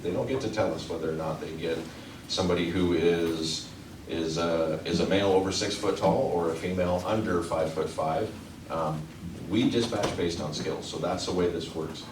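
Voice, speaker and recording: A man from a trade union answering questions at a community meeting, talking earnestly and restarting his sentences often. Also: low voice. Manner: earnest, halting, many restarts. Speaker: union representative. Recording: echoey room, distant mic, noise.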